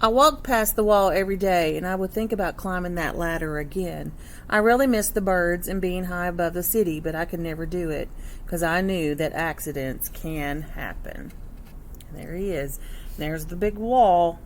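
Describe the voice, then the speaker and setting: A woman's voice: lowish voice, moderate pace, a little hiss or hum. A woman teacher reading a picture book aloud to children, expressively, with instructive asides and questions for young listeners.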